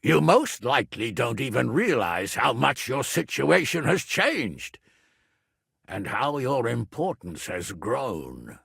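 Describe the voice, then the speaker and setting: An actor voicing a gruff, hot-tempered but loyal middle-aged medieval nobleman in a video game, with a rough, gravelly voice and a blunt, lordly, emphatic manner. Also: mid-range voice, very animated, slow pace, a bit bright, no echo, clean studio sound, full character voice. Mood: brusque, frank. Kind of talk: dramatic video game voice acting.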